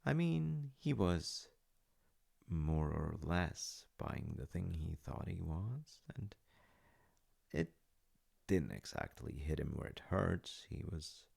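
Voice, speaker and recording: A man playing a gentle, doting dad with dry humor in an intimate comfort audio roleplay, musing softly and haltingly in a husky murmur. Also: low-pitched, very animated, heavily acted. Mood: wry, musing. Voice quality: gravelly, husky, often dropping to a breathy murmur. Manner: slow, soft-spoken, halting, musing. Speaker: voice actor.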